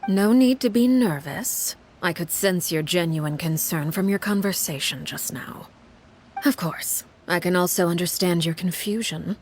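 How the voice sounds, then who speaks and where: A woman playing a composed, commanding leader in a video game, speaking formally with measured, quiet authority. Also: on the low side, a little hiss or hum, natural voice. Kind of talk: dramatic video game dialogue. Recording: faint music behind the voice.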